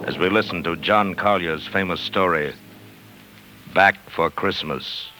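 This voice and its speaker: A man announcing in an ominous, deliberate, theatrical 1940s radio narration style. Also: sits low, rough recording. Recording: old radio broadcast with loud music.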